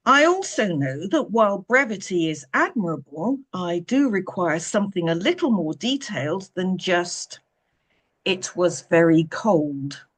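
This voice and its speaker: Woman reading as a stern sardonic boss man in a storybook, husky, clipped and very formal. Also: on the low side, animated. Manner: measured, clipped, pointedly formal.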